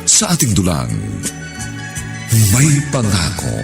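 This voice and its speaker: Man, radio drama announcer, low pitch, sweeping dramatic intonation, music behind. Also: huge pitch swings, slow. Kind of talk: dramatic scripted narration.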